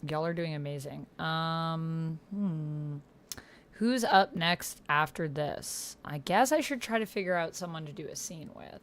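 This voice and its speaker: A woman hosting an online roleplay, chatting casually and thinking out loud, sometimes reading typed chat aloud. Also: sits low, a little slow. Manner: musing aloud to herself. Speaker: host of an online text roleplay playthrough. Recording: keyboard typing, clear background noise. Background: computer keyboard typing.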